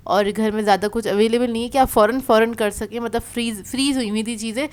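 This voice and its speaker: Chatty lady vlogger w a sore throat, voice still clear n kinda high, explaining stuff casually. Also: fairly even intonation, quick pace. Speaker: vlogger narrating her day. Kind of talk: casual conversational vlog voice-over.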